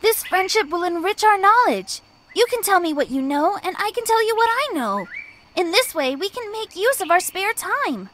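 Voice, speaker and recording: Lady doing a tiny smart boy bunny for a kids cartoon, high and bouncy pitch, friendly n earnest, bit of noise behind. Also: sing-song, normal speed, fairly bright tone, full character voice. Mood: eager, friendly. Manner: earnest, persuasive. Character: small, earnest, clever, friendly male bunny. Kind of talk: animated children's storybook voice.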